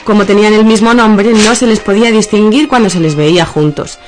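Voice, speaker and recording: An actress with a Castilian accent playing an earnest, easily offended wife in absurdist radio theatre, with theatrical delivery. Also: female voice, mid-range voice, a little fast, music in the background, lightly acted. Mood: mock mournful. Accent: Castilian Spanish.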